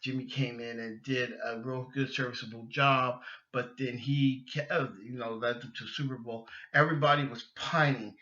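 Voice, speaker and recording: A man's voice: medium pitch, steady tone, moderate pace, bright, forceful tone, muffled recording. A man hosting a sports podcast, giving casual, opinionated fan talk in a rambling way, often restarting and repeating his phrases.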